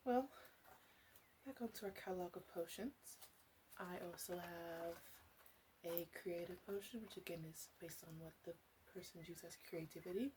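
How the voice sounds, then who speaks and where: A woman playing a sly, flirty witch who runs a potion shop, in a soft-spoken ASMR roleplay with whispers and halting, teasing asides. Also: fairly low pitch, noisy background, natural voice. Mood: self-justifying, coy, then chatty, wry.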